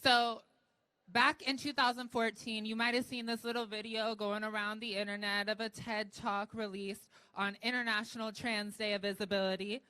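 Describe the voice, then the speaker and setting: A woman's voice: medium pitch, little pitch movement, moderate pace, pushed and bright, clear, resonant tone, no room sound, rough recording. Upbeat woman emcee at a rally. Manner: upbeat, cheering. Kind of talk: event introduction. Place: outdoor rally.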